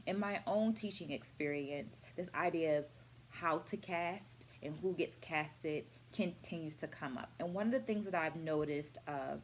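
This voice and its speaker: A middle-aged woman speaking as an educator in a reflective vlog, with deliberate, articulate phrasing and emphatic stresses. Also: low voice, slight background noise. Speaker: vlogger and dance educator. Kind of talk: reflective book-review vlog.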